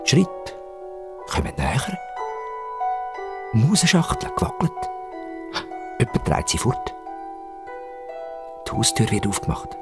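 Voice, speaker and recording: A man with a Swiss German accent narrating a children's story dramatically, with suspenseful pauses and repetitions. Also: fairly low pitch. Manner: expressive, suspenseful, with repetitions for effect.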